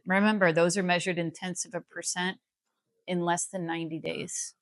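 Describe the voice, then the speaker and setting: A woman's voice: on the low side, little pitch movement, normal speed, no room sound, clean studio sound. An American woman explaining things in a live interview as a guest, in an emphatic, persuasive manner. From Missouri, United States. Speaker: healthcare company CEO, interview guest.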